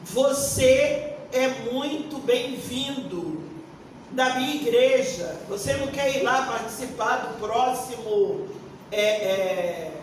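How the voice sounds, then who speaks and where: A Brazilian woman of sixty-one answering on a forum panel like a pastor, deliberate and emphatic, searching for words as she goes. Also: on the high side, lively intonation. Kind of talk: unscripted panel answer with preaching tone. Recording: echoey room, distant mic, faint noise.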